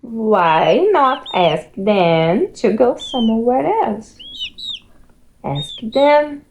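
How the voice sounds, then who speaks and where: A woman's voice: a little high, animated, drawn-out words, a little mellow. A woman voicing a cheerful, matter-of-fact little pig friend from a picture book, explaining things plainly. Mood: helpful. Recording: muffled lo-fi home recording, background noise.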